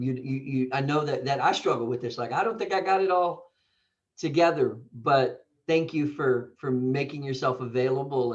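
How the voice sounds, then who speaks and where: An American man, a pastor appearing as a podcast guest, talking casually in an effusive, playful, informal way. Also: mid-range voice. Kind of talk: casual conversational interview. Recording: dull, slightly lo-fi audio.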